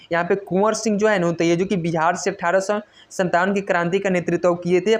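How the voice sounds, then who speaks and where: A woman teaches in a quiz-style exam lesson, reading out questions and stressing each answer repeatedly in an instructional way. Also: on the low side. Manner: instructional, repetitive emphasis on key answers. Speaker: exam coach.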